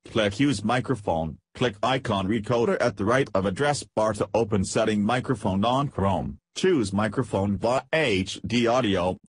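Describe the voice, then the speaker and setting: Husky guy, reading out a how-to screen tutorial, really dry close sound. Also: lowish voice, no echo. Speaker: software tutorial narrator. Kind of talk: scripted screen-recording tutorial narration.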